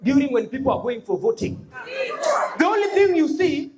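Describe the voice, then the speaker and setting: Kenyan-accented student debater, high-pitched voice, punchy rhetorical delivery, noisy crowd in a hall. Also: very animated, moderate pace. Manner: punchy, rhetorical, repeats slogans, shouts points. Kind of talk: fiery competitive debate speech.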